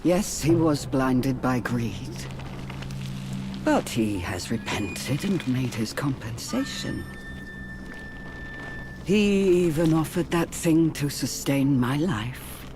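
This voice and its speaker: A woman's voice: rumbling low, animated, takes it slowly, pauses often, heavily acted. A woman voicing a bitter, lovelorn old female spider demon in a video game, brooding, menacing and theatrical. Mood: wounded, bitter. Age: older. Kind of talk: video game cutscene acting. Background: dramatic game music.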